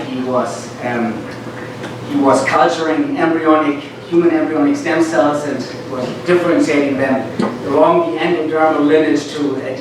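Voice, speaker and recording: A middle-aged man with a German accent gives an informal academic talk to students, halting and often repeating words. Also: a little high. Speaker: guest lecturer, medical school professor. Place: lecture classroom, indoors. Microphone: far camera at back of room. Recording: distant, very echoey, noisy room recording.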